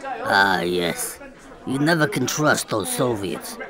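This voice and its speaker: Slow-talking cocky tipsy young gangster. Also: male voice, fairly low pitch, lively intonation. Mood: tipsy, smug. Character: cocky, talkative, tipsy young mob punk. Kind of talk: radio drama dialogue. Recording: busy crowd and music behind. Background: crowd and music.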